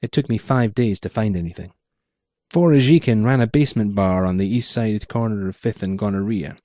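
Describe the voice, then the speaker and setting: A man reading dry low noir narration. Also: a little fast, mellow, dry recording, lo-fi sound. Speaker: author reading his own story. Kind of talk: first-person noir narration.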